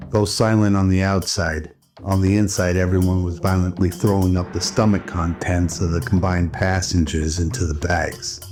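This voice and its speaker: Low-voiced male drama narrator over music. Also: level tone, moderate pace. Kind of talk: scripted dramatic narration in old radio drama style. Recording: loud music under the voice. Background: background music.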